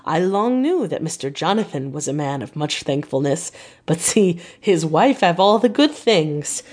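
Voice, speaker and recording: A woman's voice: low-pitched, lively intonation, a little slow, darkish tone. Woman acting effusive old male doctor. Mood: grateful. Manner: emphatic, effusive, in broken formal English.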